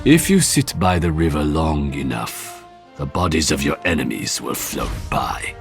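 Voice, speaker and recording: An actor playing a stern, stoic male warrior in a video game, solemn and measured. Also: a bass voice, very animated, faint music behind, full character voice. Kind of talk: video game character acting.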